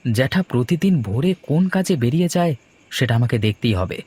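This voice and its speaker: A man's voice: mid-range voice, animated, fast talker, a little mellow, slightly rough. A man playing a polite, uneasy, curious young nephew in a horror cartoon.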